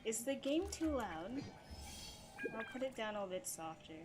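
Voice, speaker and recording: A woman's voice: a little high, sing-song, a little slow. A woman live streaming a video game, chatting casually to viewers and muttering asides to herself as she plays. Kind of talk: casual chat while gaming. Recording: loud game music behind the voice. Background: video game music and sounds.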